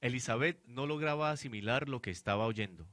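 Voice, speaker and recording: A man's voice: medium pitch, brisk, fairly bright tone, dry recording. A man narrating a radio drama in a scripted, dramatic storytelling style.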